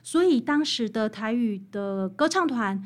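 High-voiced Taiwanese woman, emphatic guest. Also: expressive, a little slow. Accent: Taiwanese Mandarin. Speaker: guest author, researcher of popular songs. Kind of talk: interview conversation, explanatory storytelling.

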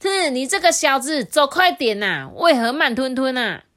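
A woman's voice: sits high, expressive, moderate pace, voice pushed up. A mother doing a bossy little rabbit. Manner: loud, imperious. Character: small rabbit posing as a bossy elder.

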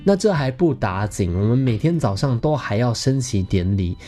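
Male podcast host, thirties, Taiwanese Mandarin accent, mid-pitched and very animated, playful casual storyteller, light music underneath. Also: moderate pace. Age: 30s. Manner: animated, playful, self-mocking humour.

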